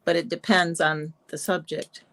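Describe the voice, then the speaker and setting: A woman's voice: on the low side, level tone, normal speed, a bit bright. A woman playwright chatting casually on a video call, giving advice in an offhand, tentative way. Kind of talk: casual chat on a panel.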